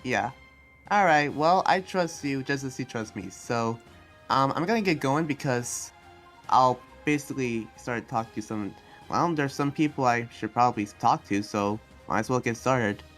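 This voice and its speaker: Music plays behind a man acting as an earnest demon slayer who guards his town. He explains himself in a halting, rambling way, with an average-pitched voice, as in an improvised video game roleplay. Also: animated, a bit bright, lightly acted. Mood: earnest, friendly. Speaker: roleplay player. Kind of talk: improvised Minecraft anime roleplay dialogue. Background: background music.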